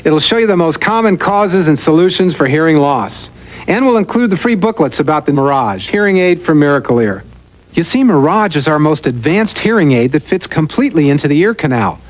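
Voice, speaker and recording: A man's voice: on the high side, sing-song, fairly quick, rough recording. An American man narrating a scripted commercial as an announcer.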